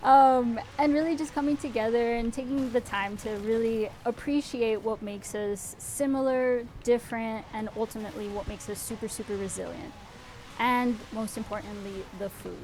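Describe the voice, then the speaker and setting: A woman's voice: on the high side, fairly even intonation, normal speed, darkish tone, a little hiss or hum, rough recording. A young woman co-hosting a game livestream, talking in an upbeat, chatty way with lots of "like". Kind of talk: casual livestream chat.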